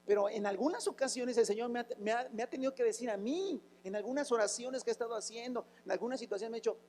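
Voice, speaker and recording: A man's voice: very high-pitched, fast, a little mellow, in a large hall. A man, preaching a fervent sermon as a pastor, asking rhetorical questions and breaking into sudden exclamations. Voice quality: raspy, rough voice.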